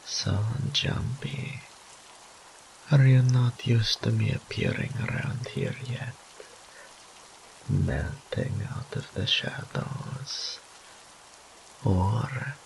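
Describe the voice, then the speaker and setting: A man's voice: medium pitch, vocal fry, a little hiss or hum, poor audio. A man voicing a teasing, smug and doting incubus demon in roleplay audio, with a theatrical purring drawl and whispered asides. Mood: playful teasing.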